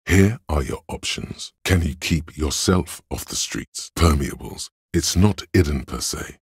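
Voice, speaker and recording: A detective's inner logic for a game: gravelly, raspy, measured and analytical, very dry close sound. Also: male voice, animated, no echo, heavily acted. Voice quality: very deep, gravelly, husky. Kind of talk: video game narration.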